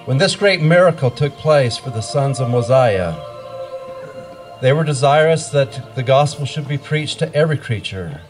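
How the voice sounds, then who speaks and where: A middle-aged man narrates a scripture pageant outdoors through a handheld microphone. His voice sits at an ordinary male pitch. Music plays clearly behind him as he reads. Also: expressive, moderate pace, low-quality recording. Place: outdoor wooded stage. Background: music playing.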